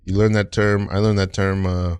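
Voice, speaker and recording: A man's voice: sits low, little pitch movement, moderate pace, darkish tone, smooth, dry recording, studio quality. A man chatting casually as a podcast guest, reflective and given to repeating his phrases.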